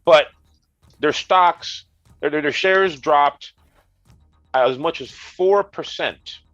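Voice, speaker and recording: A congested man co-hosting a gaming news chat, making dry, deadpan jokes as he explains the news. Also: fairly high pitch, takes it slowly, no room sound, lo-fi sound. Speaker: co-host, retro gaming specialist.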